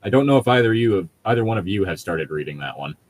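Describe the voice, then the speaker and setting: Low-voiced man chatting, casual and sweary. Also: animated, fast talker, darkish tone. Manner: profane, rambling, sardonic. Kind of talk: casual group chat.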